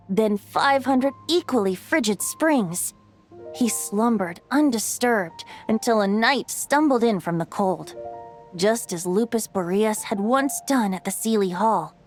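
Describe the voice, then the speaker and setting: A woman doing a youthful bard god narrates a legend in a game cutscene. The voice sits a little high and flows in a lilting, gently teasing way. Faint music plays underneath. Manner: lilting storytelling, light and teasing. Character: youthful male bard god, playful and wry.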